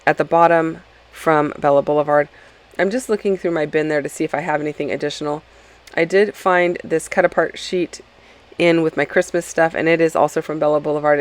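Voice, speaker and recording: A woman talks over her own craft footage in a loose, rambling voice-over, full of ums and restarts. She is still getting over a cold and sounds a little sniffly and stuffy. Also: low voice. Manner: rambling, chatty, many ums and self-corrections. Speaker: scrapbooking channel host. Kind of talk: casual process-video voice-over.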